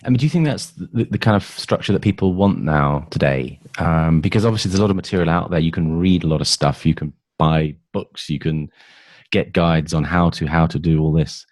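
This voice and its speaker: Man, interview host, deep voice, fast talker, dry close sound, stumbling restarts. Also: a little mellow, no echo. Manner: conversational, stumbling restarts while asking questions. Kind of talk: interview questions.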